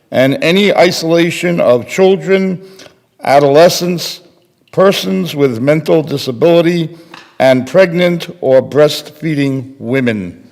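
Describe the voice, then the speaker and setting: A man's voice: a little high, a little mellow. An older man giving a scripted public reading in a readers theater, speaking into a microphone. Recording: echoey hall reverb.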